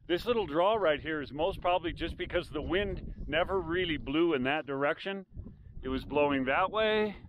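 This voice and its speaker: An older man narrating a hike outdoors on a windy volcanic ridge, calmly explaining the landmarks around him. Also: a little high, dull audio. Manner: unhurried, explanatory, pointing out landmarks. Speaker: hiking vlogger. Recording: faint wind noise.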